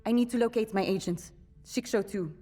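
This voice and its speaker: A woman plays a commanding military officer in a cinematic game. She speaks at a medium pitch, formal and curt, over faint music. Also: a little fast, mellow, lightly acted. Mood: measured, guarded. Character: stern, commanding special forces officer, adult woman. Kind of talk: cinematic video game acting.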